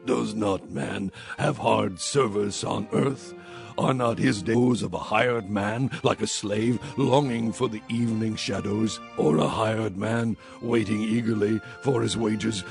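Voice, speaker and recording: A man's voice: fairly low pitch, steady tone, takes it slowly, gravelly. An actor playing a despairing, suffering man who laments in solemn anguish in a dramatized scripture reading. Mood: weary despair. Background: music.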